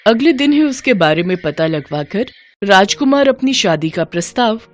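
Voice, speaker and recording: A woman's voice: mid-range voice, expressive, moderate pace. A woman narrating a scripted children's bedtime story as storyteller, with music behind her.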